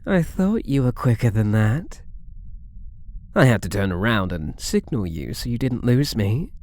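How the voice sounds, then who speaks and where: A man's voice: mid-range voice, darkish tone, no room sound. Man playing a coy, swooping British catboy thief. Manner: coy, playful, mock-innocent purring taunts. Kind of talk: ASMR roleplay acting.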